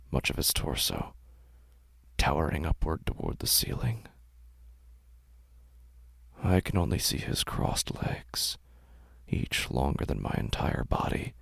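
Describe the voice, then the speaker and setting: A man with a very deep, heavily gravelly voice narrates a horror story in a hushed, slow delivery that often sinks into a whisper. His tone is husky, and the close recording is completely dry. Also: no echo. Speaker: narrator and host.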